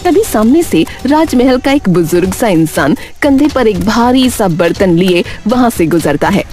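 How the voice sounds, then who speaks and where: A woman narrates a scripted tale. Her delivery is expressive, with lively rises and falls in pitch. Quiet music plays behind her. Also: moderate pace. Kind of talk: scripted story narration in Hindi.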